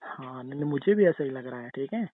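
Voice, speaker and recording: A man's voice: medium pitch, animated, fast talker, no room sound, natural voice. A man playing a teasing young husband in intimate casual banter, coaxing and insisting playfully. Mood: playfully insistent. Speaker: husband in a couple's call. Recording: tinny narrow phone-call sound.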